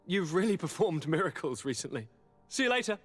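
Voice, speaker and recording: A young noble governor in a game cutscene, with a lightly high male voice. He is charming and evasive, phrasing everything with courtly, theatrical flourish, as if hiding a darker ambition. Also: very animated, brisk, faint music behind. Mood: airy, reassuring. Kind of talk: dramatic video game cutscene acting.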